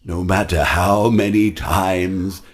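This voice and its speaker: An actor voicing a sinister male robot showman in a game, with a husky voice. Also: deep voice, fairly even intonation, slow pace. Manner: smooth then menacing.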